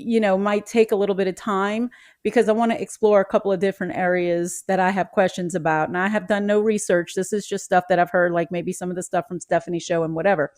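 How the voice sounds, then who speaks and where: A woman's voice: medium pitch, darkish tone, no room sound. A woman with a mid-range voice hosts a podcast interview. She asks curious questions in a chatty, rambling way. The sound is dry and close. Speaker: podcast host. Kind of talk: casual interview chat.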